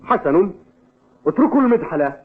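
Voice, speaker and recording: An actor playing a stern, demanding sports coach in an Arabic cartoon dub, with a commanding manner. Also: male voice, high-pitched, sing-song, fairly quick. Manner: commanding, barking orders. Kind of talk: dubbed cartoon acting in formal Arabic. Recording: tinny, noisy old TV dub.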